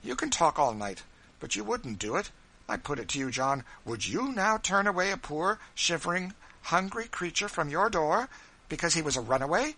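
A man reading aloud, voicing a timid, gentle wife roused to righteous indignation, pleading and insistent. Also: mid-range voice, expressive, moderate pace, voice pushed up, rough recording. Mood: indignant. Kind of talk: audiobook character reading.

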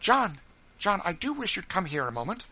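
A kindly politician's wife from a storybook, as a man reads her, gentle and earnest. Her voice is lifted high, with a bright tone and soothing delivery. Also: big pitch swings, a little slow, slight background noise, exaggerated voice. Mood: urgent.

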